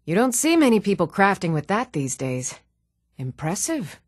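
An even-tempered townswoman from a fantasy game. Her mid-pitched voice moves in lively melody. The sound is close and dry, delivered as game dialogue. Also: normal speed, dry recording. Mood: impressed. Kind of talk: video game character dialogue.

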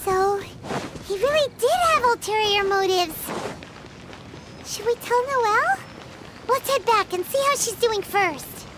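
A woman's voice: extremely high pitch, lively intonation, measured pace, long pauses, noisy background. A woman voicing a tiny, chirpy, childlike fairy girl companion, perky and inquisitive, in a video game. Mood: curious. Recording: music behind the voice. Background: game music.

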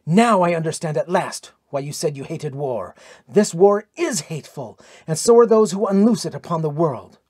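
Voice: medium pitch, lively intonation, normal speed, a little mellow, breathy edge, no room sound, clean studio sound. A narrator voicing a fierce, proud Norse warrior princess with archaic, declamatory speech in an audiobook adventure. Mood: indignant, loathing.

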